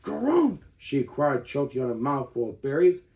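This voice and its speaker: A man of thirty reading a children's book aloud as an expressive storyteller. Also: mid-range voice, moderate pace.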